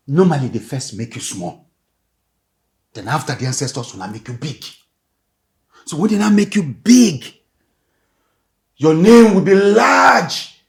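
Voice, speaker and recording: An actor playing a secretive father who speaks to his daughter in a hushed, coaxing way. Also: medium pitch.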